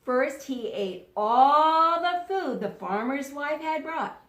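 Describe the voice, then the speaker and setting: Lady teacher doing a read aloud for young kids, really slow and instructional, roomy echo on it. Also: high voice, animated. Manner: deliberate, instructional, repeats words for pupils to echo. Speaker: elementary school teacher. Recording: roomy, echoing sound.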